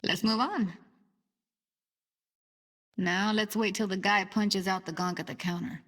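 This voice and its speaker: A woman's voice: mid-range voice, fairly even intonation, unhurried, fairly bright tone. An actress voicing a streetwise young techie woman in a cyberpunk video game, talking in a casual, slangy, wry way. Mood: focused, explaining. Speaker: video game character actor. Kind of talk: naturalistic game voice acting.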